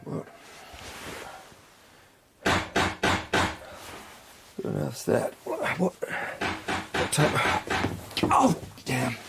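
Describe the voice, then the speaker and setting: An actor playing an earnest, anxious, imaginative gentleman in a period radio drama, over a noisy background. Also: on the high side, huge pitch swings, slow, creaky, exaggerated voice. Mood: irritated, uneasy. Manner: hesitant, thoughtful, earnest.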